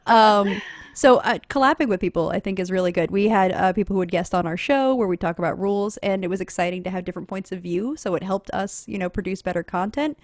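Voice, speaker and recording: A woman's voice: mid-range voice, fast, few pauses, no room sound. Woman, podcast panelist, casual, informal, self-deprecating, dry close recording.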